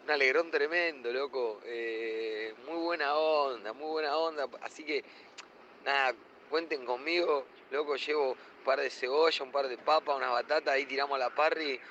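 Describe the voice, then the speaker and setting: A man's voice: mid-range voice, normal speed, bright, forceful tone, close to an everyday voice. A man with an Argentine accent playing an enthusiastic middle-aged slacker who repeats himself in heavy slang. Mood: delighted. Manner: repetitive, slangy. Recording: dull, faintly noisy voice message.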